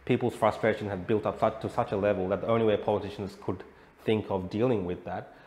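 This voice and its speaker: A man's voice: fairly low pitch, fairly even intonation, fast talker, a little mellow. An Australian man answering as an interview guest in a conversational way, often adding "you know". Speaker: interview guest, protest reporter. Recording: roomy echo, clear background noise.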